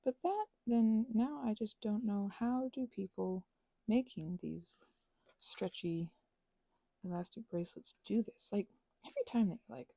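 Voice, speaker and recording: Rambling woman, soft and slightly husky. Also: mid-range voice, dry recording, poor audio. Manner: playful, whimsical asides to listeners; halting, drifts into murmurs and self-talk. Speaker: ASMR and audio creator. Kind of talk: rambling casual craft chat, thinking aloud.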